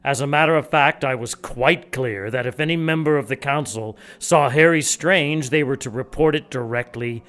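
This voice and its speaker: Man voicing a sly scheming monk, smooth, condescending, quietly menacing. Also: medium pitch, normal speed, few pauses, natural voice.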